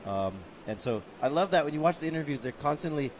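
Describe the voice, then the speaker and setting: A man's voice: on the high side, animated, quick pace, slight background noise. A man commentating on a surf contest, reflective and talkative, a father. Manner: reflective, storytelling. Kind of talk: live sports commentary.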